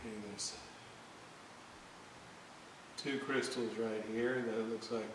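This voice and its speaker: A man talks slowly and haltingly through a hands-on repair job. He sounds distant from the microphone in a very reverberant room, with a steady hiss under his voice. His commentary is casual, as if thinking aloud at the bench. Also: medium pitch. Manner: hesitant, halting, thinking aloud while working. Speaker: electronics restorer narrating a repair video. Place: indoor room at a workbench. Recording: very echoey room, distant mic, clear hiss.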